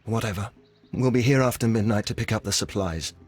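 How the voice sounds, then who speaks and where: An actor playing a wary criminal conspirator in a game, clipped and businesslike, with a husky voice. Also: male voice, lowish voice, fairly quick, darkish tone, faint music behind, natural voice. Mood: curt, wary. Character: curt, wary male criminal conspirator giving orders. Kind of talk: scripted game dialogue.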